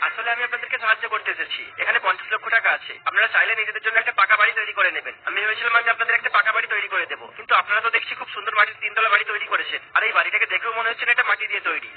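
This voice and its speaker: A man's voice: high voice, breathy edge. A man voicing a young, polite and earnest government officer in a cartoon story. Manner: pleading, polite. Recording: tinny phone-like sound.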